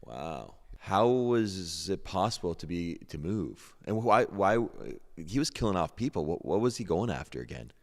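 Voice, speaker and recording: A male podcast host with a notably deep voice. He interviews casually, with brief acknowledgements and reworded questions. Long reverb surrounds his words. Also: sing-song, moderate pace, few pauses.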